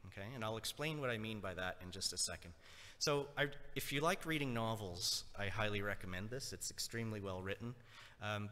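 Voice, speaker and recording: Man, native French speaker, from Nova Scotia, chatty conference talk, crisp bright tone, long hall reverb. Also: mid-range voice. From Nova Scotia, Canada. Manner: chatty, checks in with the audience. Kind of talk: conversational lecture.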